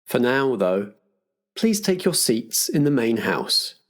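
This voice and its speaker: Male game master and show host, mid-pitched with a touch of huskiness, presenting to an audience. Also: very animated, slow pace. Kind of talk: scripted programme introduction and game hosting.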